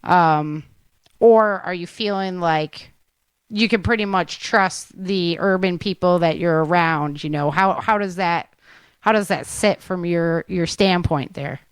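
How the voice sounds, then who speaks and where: A woman's voice: fairly low pitch, a little slow, clear, resonant tone, no room sound. A woman hosting a podcast in a casual, rambling, conversational interview style. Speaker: podcast host.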